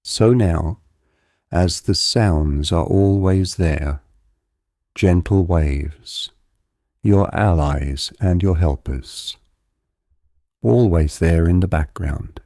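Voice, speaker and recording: A man guides a relaxation exercise in a low, warm voice. His delivery is very slow, calm and deliberate, with a gentle hypnotic flow. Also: dry recording, studio quality. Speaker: guided visualisation narrator. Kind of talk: guided meditation, soothing hypnotic narration.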